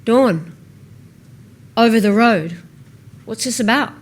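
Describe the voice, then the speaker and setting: A woman's voice: a little high, takes it slowly. Woman reading as guarded elderly widow. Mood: guarded, wary.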